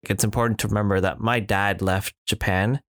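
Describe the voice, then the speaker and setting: A man's voice: lowish voice, fairly even intonation, fairly quick, fairly bright tone, no echo, studio quality. A man in casual podcast chat, reflective, with many fillers and restarts. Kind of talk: casual conversation.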